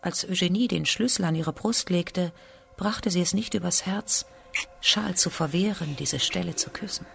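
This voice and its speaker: Creaky-voiced woman narrating a literary drama. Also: fairly low pitch, normal speed, a bit bright, slight background noise.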